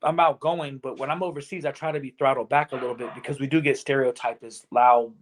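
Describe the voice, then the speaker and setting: A man in his forties from Virginia talks casually on a podcast. He tells stories from his travels at a steady, middle pitch and drops into quick quoted lines from the people he met. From Virginia, USA. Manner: storytelling, animated, acts out quotes. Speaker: podcast guest. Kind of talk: casual conversation.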